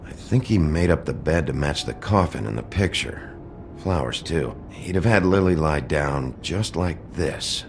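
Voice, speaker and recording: Gruff male detective, rock-bottom pitch, terse, authoritative, noir video game, noticeable background noise. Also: expressive, unhurried, exaggerated voice. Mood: pensive. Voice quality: gravelly. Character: gruff, brooding wolf detective in human form.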